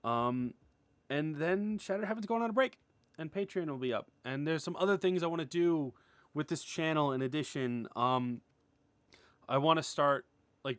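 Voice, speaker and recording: A man's voice: medium pitch, smooth, no room sound. Casual, enthusiastic man in a dry recording. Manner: enthusiastic, gushing; rambling, informal, heavy use of "like". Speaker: indie animator, writer and series creator. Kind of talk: casual, unscripted update vlog.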